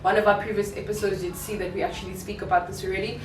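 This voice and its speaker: A young woman co-hosting a casual talk show and telling stories in a lively, animated way. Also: mid-range voice, racing, far from the mic. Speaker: co-host. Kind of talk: casual chat. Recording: echoey room, off-mic, clear background noise.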